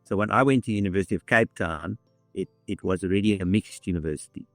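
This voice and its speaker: A man, telling his life story in a measured way with small pauses. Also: sits low, fairly bright tone, dry recording, faint music behind. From South Africa. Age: 60s. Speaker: interviewee. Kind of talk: reflective storytelling.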